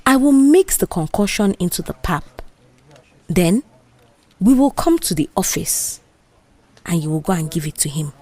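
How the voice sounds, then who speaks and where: A woman voicing a bold, mischievous young office worker with a Nigerian accent, animated, dismissive and persuasive, in an audio drama. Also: lowish voice, moderate pace, long pauses, no echo. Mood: coaxing, scheming. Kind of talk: audio drama character dialogue.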